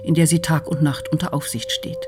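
An actress playing an earnest family friend, reading out a formal letter in a measured way in a radio drama. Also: female voice, low-pitched, fairly even intonation, music in the background, close to an everyday voice. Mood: grave, formal.

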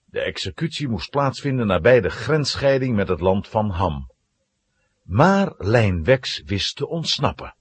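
Very husky, animated male storyteller. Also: lowish voice, measured pace. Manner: dramatic storyteller. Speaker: narrator. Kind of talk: scripted storytelling narration for children's audio drama.

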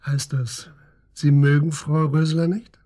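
Husky, higher-pitched police detective. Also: male voice, flat delivery, takes it slowly, warm tone. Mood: probing, sceptical. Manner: matter-of-fact, probing, insistent. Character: persistent, matter-of-fact male police detective interrogating a suspect. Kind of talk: naturalistic German radio drama acting.

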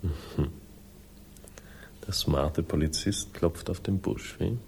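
Gravelly, world-weary sardonic sleuth. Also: male voice, low voice, takes it slowly, darkish tone, in a whisper, exaggerated voice. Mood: needling, skeptical. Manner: dry, ironic, laconic. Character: sardonic, world-weary male crime sleuth telling his own story. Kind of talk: 1960s German radio crime drama, first-person narration and dialogue.

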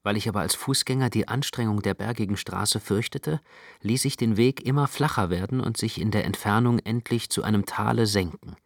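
A man narrating literary prose for a radio production in a dramatic, expressive way. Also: lowish voice, brisk, creaky.

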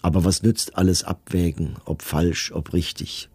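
Low, dark-voiced remorseful condemned commandant. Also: male voice, fairly even intonation, husky, dry recording, soft background music. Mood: fatalistic, remorseful. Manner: grave, measured, formal. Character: remorseful condemned Nazi camp commandant, solemn and self-justifying. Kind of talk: dramatized reading of memoirs and letters in radio documentary.

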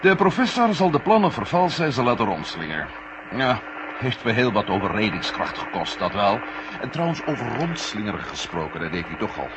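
A man's voice: lowish voice, big pitch swings, brisk. An actor playing a level-headed, dryly frank male space agent in a 1970 radio drama, with a matter-of-fact manner. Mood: brisk, wry. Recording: noisy vintage radio recording.

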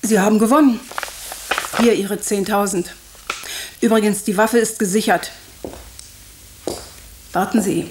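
A woman's voice: medium pitch, fairly even intonation, a little slow, pauses often, close to an everyday voice. A woman playing a dramatic, evasive television actress under suspicion in a German radio drama, speaking in an emphatic, theatrical way. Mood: composed. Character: dramatic, evasive TV actress suspected of blackmail and murder. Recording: noisy ambience behind the voice.

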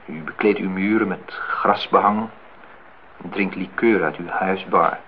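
An actor playing a disillusioned, reflective man in his thirties in a Dutch radio play, speaking in measured, philosophical phrases. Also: low-pitched, steady tone. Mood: sardonic, lecturing. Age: 30s. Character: disillusioned, reflective man musing on truth and love. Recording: dull, noisy old radio play recording.

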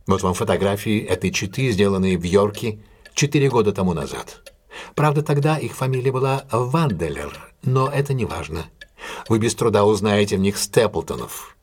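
Composed, low-voiced detective. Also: male voice, expressive, darkish tone, slightly rough. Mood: assured, explanatory. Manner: measured, assured, formally polite, deliberate. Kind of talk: audio drama acting, classic detective story.

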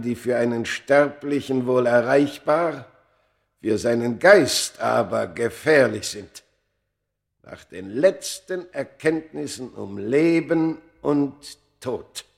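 A man's voice: mid-range voice, slow, vocal fry. An actor playing a brooding scholar of antiquity who recalls his past, narrating solemnly and wistfully in a German radio play. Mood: brooding, ominous.

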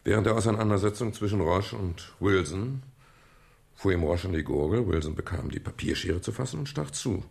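An actor playing a calm, reflective private detective in a German radio crime drama, speaking in a measured, explanatory way with a gravelly, husky voice. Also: male voice, low-pitched, exaggerated voice. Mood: calm explaining. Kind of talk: German radio crime drama, dialogue and first-person narration.

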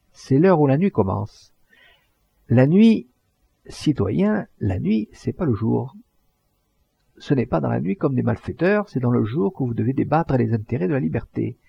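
A man voicing a thin young orator who harangues a crowd in a booming, declamatory voice. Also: vocal fry, dark tone, breathy edge, exaggerated voice. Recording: dull dry recording.

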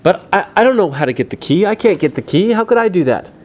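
A man's voice: medium pitch, unhurried, warm tone, slight background noise, lightly acted. A man voicing a small, frightened, anxious character in a children's story, stammering and repeating himself. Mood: panicked pleading.

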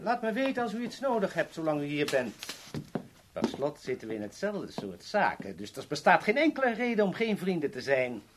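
An actor in a 1970s radio play voicing a polite, formal boss who is coolly threatening. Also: male voice, a little high, wildly swooping pitch, a little fast, noisy background. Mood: smooth menace. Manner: formal, courteous, smoothly threatening.

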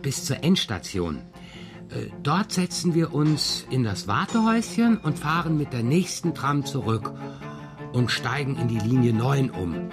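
A man's voice: medium pitch, sing-song, measured pace. A man playing a whimsical, joking, philosophizing father in a children's radio play, speaking in a wry, mock-pompous way. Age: 60s. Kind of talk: playful radio drama dialogue. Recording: studio radio play with music underneath.

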